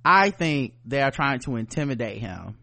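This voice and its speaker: An American man hosting a podcast, chatting casually and comedically with animated, profane riffing. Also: mid-range voice, moderate pace, creaky, dry recording. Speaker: podcast host.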